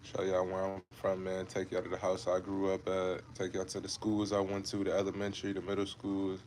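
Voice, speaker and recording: A man's voice: low voice, completely flat delivery, brisk, a little mellow, slight background noise. A man in his twenties from Ohio, chatting casually with viewers on a fitness livestream, laid-back and repetitive, calling them bro and man. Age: 20s. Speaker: fitness creator hosting a livestream.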